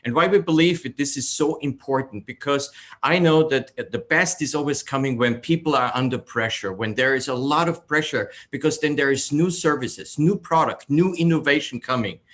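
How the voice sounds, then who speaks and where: A man's voice: mid-range voice, moderate pace, a bit bright. A man from Austria with an Austrian accent, a native German speaker, giving a welcome speech at an online event. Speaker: entrepreneur, event co-host. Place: online group call.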